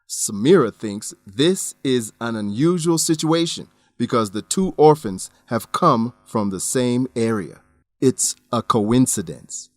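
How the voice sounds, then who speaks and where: A man narrating a radio drama and teaching vocabulary in a scripted, clear, explanatory manner. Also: mid-range voice, no room sound. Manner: clear, explanatory, measured emphasis.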